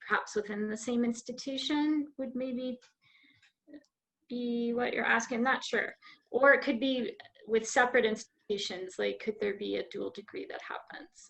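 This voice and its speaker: A woman moderating a webinar who reads out audience questions and passes them to the panel in a bright, quick way. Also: a little high, creaky, poor audio. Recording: online video call.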